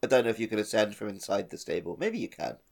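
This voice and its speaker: A man's voice: on the low side, very animated, fast talker, gravelly. A man co-hosting a podcast, talking casually and wryly about a video game. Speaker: podcast co-host. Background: plucked guitar music.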